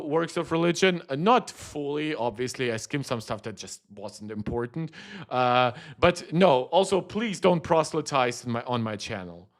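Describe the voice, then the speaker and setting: A young man from Germany giving rambling, opinionated live-stream commentary, full of restarts and fillers. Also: fairly high pitch. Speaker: streamer reacting to a tech video.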